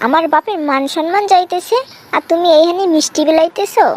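Woman acting an indignant young Bangladeshi sweetheart, extremely high-pitched. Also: fast talker. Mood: reproachful. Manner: scolding, reproachful. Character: indignant, stern young woman scolding her lover. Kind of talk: comic cartoon dialogue. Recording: noticeable background noise.

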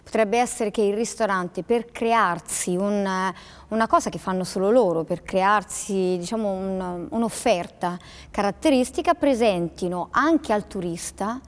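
40s woman talking in a tv studio, middle pitch, explains things slow and thoughtful. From Italy. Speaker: guest. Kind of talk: studio talk show interview.

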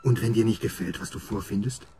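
Low-voiced brooding father played by an actor. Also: brisk, dark tone, slight background noise, close to an everyday voice. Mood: agitated, obsessive. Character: brooding, obsessive father and doctor in a horror story. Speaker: narrator and lead character. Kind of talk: radio horror drama, dialogue and first-person narration.

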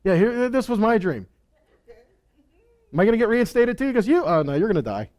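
A man's voice: sits high, big pitch swings, brisk, a little mellow. A man in his thirties teaching a Bible lesson on stage with a headset mic, talking in a casual way, asking questions and acting out quoted lines. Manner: conversational, asks the room questions, acts out quotes. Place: stage with purple lights.

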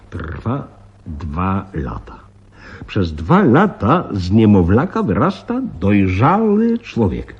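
An actor playing a flustered, grave veteran police commissioner in a radio play, husky and halting, repeating himself. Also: male voice, sits low, exaggerated voice. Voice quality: husky, breathy. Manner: halting, muttering, repeats himself, dramatic emphasis. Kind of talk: Polish radio play acting. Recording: slightly dull radio play recording.

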